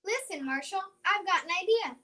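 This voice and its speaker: An eager young girl from the country in a radio play, acted out by a woman. Her voice is extremely high and bright. She is plucky and emphatic, repeating phrases to drive her point home. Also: animated, brisk, exaggerated voice. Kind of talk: old-time radio drama acting.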